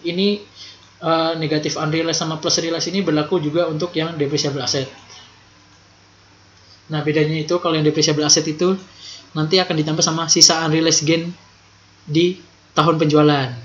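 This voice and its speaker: A man's voice: a little high, a bit bright. A young man gives an informal tutorial, speaking colloquially and repeating key points for emphasis. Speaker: accounting tutor. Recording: roomy echo, dull lo-fi, faint noise.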